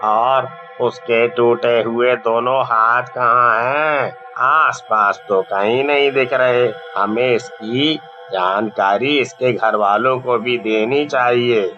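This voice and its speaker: A man's voice: mid-range voice, fairly even intonation, slow, close to an everyday voice. An actor playing a cautious, practical village man in a cartoon horror story. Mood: cautious concern. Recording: dull lo-fi track with faint music.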